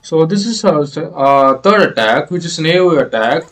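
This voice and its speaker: A man giving explanatory video game playthrough commentary in a halting, hesitant way. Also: on the high side, expressive, fairly bright tone, soft background music. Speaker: gaming video creator.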